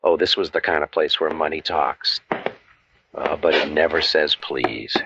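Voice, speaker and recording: An actor playing a cynical, wisecracking waterfront private eye, narrating old-time radio noir in a deadpan, world-weary manner. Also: male voice, a bass voice, measured pace, vocal fry, muffled recording, a little hiss or hum, full character voice. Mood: wry, brooding. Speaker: narrator and lead detective.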